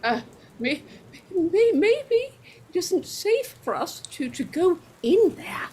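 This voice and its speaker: An actress playing a suspicious, sharp-tongued, fussy woman in old-time radio horror style, hesitant yet pointed. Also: very high voice, very animated, slow pace, full character voice. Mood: fearful.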